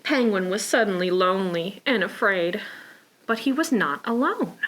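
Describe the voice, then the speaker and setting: A woman's voice: medium pitch, expressive, normal speed, a bit bright, a little hiss or hum, rough recording. A woman hosting a preschool storytime, reading aloud and talking simply and encouragingly to small children. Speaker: library preschool storytime host.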